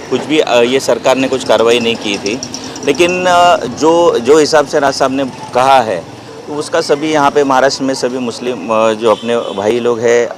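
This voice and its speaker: A man, a party worker, giving rambling, conciliatory explanations in a news interview. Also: mid-range voice. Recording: outdoor street noise, passing vehicles.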